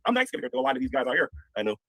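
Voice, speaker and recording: A man's voice: mid-range voice, big pitch swings, very fast, a bit bright, dry recording, rough recording. An older man from the United States with a head cold, talking casually on a livestream and preaching with scripture quotes. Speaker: streamer.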